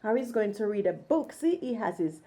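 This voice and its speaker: A woman's voice: mid-range voice, sing-song, a little fast, dark tone. A woman doing a casual read-along as a mother with a child, in a sing-song way, speaking to the child and to viewers. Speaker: mother reading a picture book with a child.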